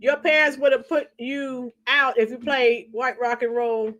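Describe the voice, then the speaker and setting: Hoarse middle-aged woman, high voice, unhurried livestream chatter. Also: little pitch movement, a bit bright, dull audio. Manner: chatty, reads out comments and sings along to songs. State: hoarse, losing her voice. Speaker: livestream host. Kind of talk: casual livestream chat with viewers.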